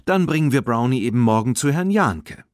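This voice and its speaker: A man voicing an eager, impulsive young girl in a children's audiobook, lively and earnest. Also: medium pitch, a little fast, no room sound, clean studio sound, voice pushed up, lightly acted.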